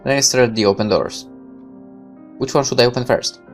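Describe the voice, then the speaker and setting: A man with a mid-pitched voice reads a story game aloud as a let's player. He narrates expressively and slips into casual asides of his own. Music plays behind his voice. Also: fairly quick. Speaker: gaming let's player reading a visual novel aloud.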